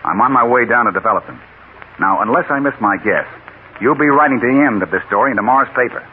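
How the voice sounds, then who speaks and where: An actor playing a wry, unflappable newspaper photographer who wisecracks under threat, in 1940s radio drama style. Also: male voice, medium pitch, quick pace, natural voice. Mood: brisk, confident. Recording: scratchy, tinny 1940s radio broadcast.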